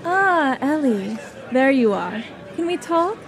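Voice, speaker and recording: A woman playing a sly, sweetly scheming alien who passes as human, measured and falsely reassuring, in an amateur audio drama. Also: sits high, very animated, a crowd in the background, exaggerated voice. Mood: smug.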